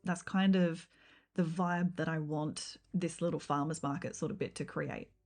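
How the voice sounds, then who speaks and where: A woman talks through her game build on a livestream, in a fairly low voice. It has a slight rough, croaky edge tonight. She chats in an easygoing, meandering way, full of ums and likes. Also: fairly even intonation, normal speed, a little mellow. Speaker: game streamer. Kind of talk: casual livestream chat while building in a game.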